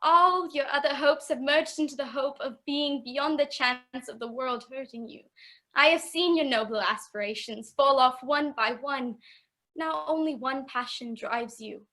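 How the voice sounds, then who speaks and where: A woman's voice: high voice, a bit bright. A woman in a radio play playing a poor young fiancée who speaks with sorrowful, earnest resolve. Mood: sorrowful, resolute. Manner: earnest, measured, plaintive.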